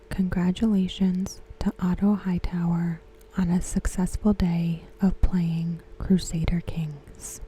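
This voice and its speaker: A woman giving ASMR commentary in a soft, breathy whisper, hushed and measured. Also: fairly low pitch, a little hiss or hum, poor audio. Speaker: ASMR host reviewing a TV episode. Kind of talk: ASMR commentary, softly spoken.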